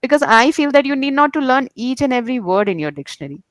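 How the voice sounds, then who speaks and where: A woman's voice: sits high, sing-song, fast, no echo. A woman hosting a casual interview who listens attentively, asks questions, and backs the speaker up with frequent "mm-hmm"s. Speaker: interviewer, host of the show.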